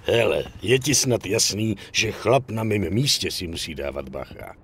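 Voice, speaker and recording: Swaggering union boss, animated. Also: male voice, on the low side, slightly rough, full character voice. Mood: wary, businesslike. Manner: bossy, blunt, swearing, talks down to others. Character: cynical, foul-mouthed middle-aged male dockworkers' union boss. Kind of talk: dubbed crime game cutscene acting.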